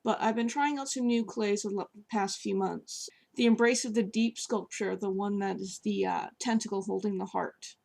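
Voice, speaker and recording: A woman with a hoarse, scratchy voice, chatting casually as she shows how she sculpts at home. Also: medium pitch, fairly even intonation, moderate pace, hardly any pauses, a little mellow. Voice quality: gravelly, scratchy. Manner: chatty, with fillers while working with her hands. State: hoarse, voice partly lost. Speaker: sculptor reviewing clay. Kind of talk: casual demonstration. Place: home, at a table by a sofa.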